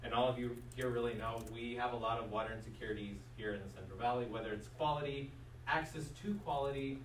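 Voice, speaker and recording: A man's voice: medium pitch, fast. A man giving short, courteous and informal remarks at a public meeting as a political representative. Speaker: district representative for a state senator. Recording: echoey room, distant mic, faint noise.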